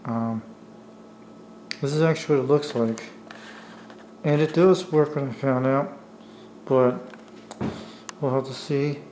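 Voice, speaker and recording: A man giving a casual, unscripted show-and-tell in a halting, apologetic way, as a hobbyist talking while he handles his camera. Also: mid-range voice, fairly even intonation, a little mellow, a little hiss or hum, poor audio. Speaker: amateur radio hobbyist showing a device. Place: indoors at a table. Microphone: handheld camera's own microphone.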